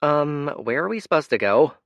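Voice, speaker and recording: A brash young woman fighter with a bright, raised, lively voice, as a male narrator puts her on. She talks loosely and casually. It is heard in a dry, close storybook recording. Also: a little high, moderate pace, no echo, voice pushed up. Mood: brash, breezy.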